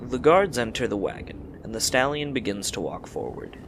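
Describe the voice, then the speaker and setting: A man's voice: mid-range voice, big pitch swings, normal speed, fairly bright tone, slight background noise. A man reading scripted story narration for an audio drama.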